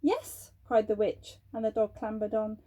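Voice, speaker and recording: A woman's voice: medium pitch, completely flat delivery, normal speed, mellow. A woman storyteller reading a children's bedtime story aloud expressively, speaking directly to young listeners.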